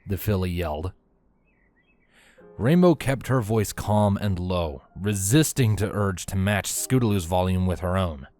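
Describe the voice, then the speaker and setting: Deep-voiced male story narrator. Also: expressive, unhurried, darkish tone, faint music behind.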